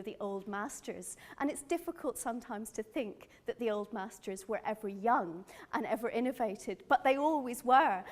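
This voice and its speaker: A middle-aged woman from Northern Ireland with a Northern Irish accent, giving an earnest, enthusiastic gallery lecture as a museum curator. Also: medium pitch.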